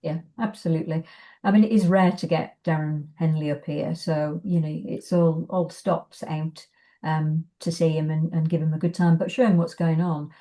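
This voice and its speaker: A woman's voice: deep voice, fairly even intonation, normal speed, dark tone. A woman chatting casually in an interview, hesitant and thinking aloud as she goes. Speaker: arts organisation guest.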